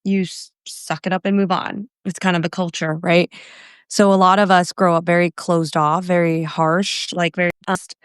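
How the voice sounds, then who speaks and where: A woman in her thirties from Phoenix, a podcast guest telling her life story in an earnest, halting way, often correcting herself. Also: on the low side, little pitch movement, clean tone, no room sound, clean studio sound. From Phoenix, Arizona, USA. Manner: earnest, halting, with self-corrections and soft laughs. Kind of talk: personal testimony in an interview.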